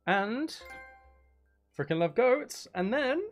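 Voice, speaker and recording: A man's voice: very high voice, very animated, slow, soft background music. A man giving casual livestream commentary on a video game, talking to himself with mutters and exclamations. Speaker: game streamer.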